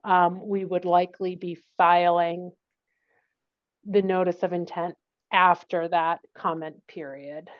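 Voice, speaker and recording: A woman's voice: lowish voice, little pitch movement, slow. A woman presenting a project at a public meeting, talking in a casual, friendly way. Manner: casual and friendly, plain conversational phrasing. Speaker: project consultant presenting to a commission. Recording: dull lo-fi meeting recording.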